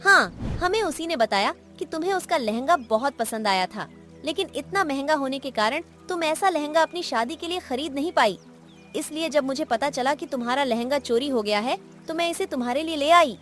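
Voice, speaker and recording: A woman as a high-voiced, kind young sister-in-law. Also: lively intonation, normal speed, a bit bright, faint music behind. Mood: affectionate.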